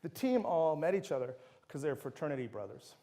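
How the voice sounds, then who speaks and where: A man's voice: fairly high pitch, sing-song, fairly quick, dark tone. A middle-aged man, a native Dutch speaker, giving an enthusiastic university lecture full of anecdotes. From Netherlands.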